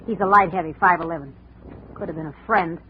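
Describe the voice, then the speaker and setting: An actress playing a tough, contemptuous, hard-drinking wife of a boxer in a 1950s radio drama, with slangy talk. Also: female voice, lowish voice, fairly quick, close to an everyday voice. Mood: scornful, suspicious. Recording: muffled noisy old radio recording.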